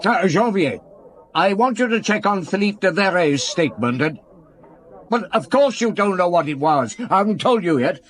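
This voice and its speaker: An actor playing an impatient police superior in a radio drama, giving orders in a clipped, commanding manner. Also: male voice, sits high, expressive, normal speed.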